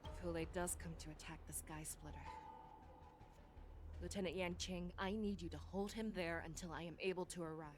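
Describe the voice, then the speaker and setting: A woman's voice: on the low side, fairly even intonation, a little fast, lightly acted. A woman voice actor playing a resolute, authoritative woman general in a fantasy video game, speaking formally and rallying troops. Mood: grave, commanding. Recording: game music clearly behind. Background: game music.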